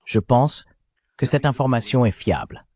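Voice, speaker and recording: A man's voice: mid-range voice, lively intonation, moderate pace, a little mellow. An AI male voice reading a translated voice-over of a journalist giving political analysis as an interview guest. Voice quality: synthetic AI voice.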